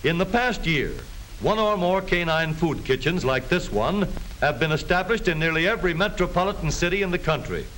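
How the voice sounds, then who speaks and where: A man's voice: a little high, animated, a little fast, fairly bright tone. An American man delivering scripted 1930s newsreel narration in a jaunty, folksy showman's manner. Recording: hissy, noisy 1930s film soundtrack.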